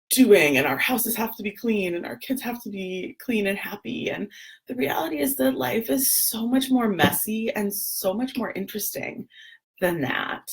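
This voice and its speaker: A woman, hoarse and gravelly, chatting casually on a live video with lots of ums and throat clearing. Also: mid-range voice. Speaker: doula and lactation educator, livestream host.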